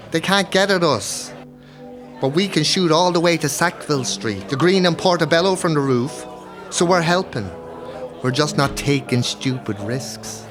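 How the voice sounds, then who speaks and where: Young Irish male soldier played by an actor, plain-spoken and practical, highish, audio play with some music behind. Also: a bit bright. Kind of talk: audio drama acting.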